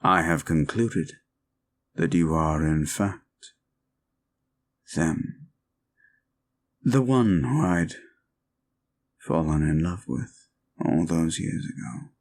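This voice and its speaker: A man playing a suave, possessive vampire in an audio roleplay, speaking formally and deliberately with a commanding manner. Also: very deep voice, animated, full character voice. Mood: possessive, measured. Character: suave, controlling male vampire, courtly and possessive. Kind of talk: scripted audio roleplay, slow intimate monologue.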